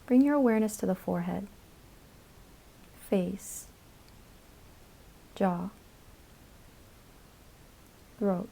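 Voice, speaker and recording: A woman guiding a yoga nidra meditation in a calm, soothing, instructional manner, with measured phrases and pauses. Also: mid-range voice, vocal fry, a little hiss or hum, low-quality recording. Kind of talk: guided meditation narration.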